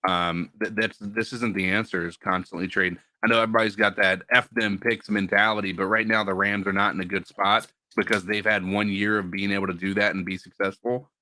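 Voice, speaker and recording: A man co-hosting a casual sports podcast, talking through his view with halting restarts. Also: fairly low pitch, little pitch movement, sharp tone, no echo. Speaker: podcast co-host.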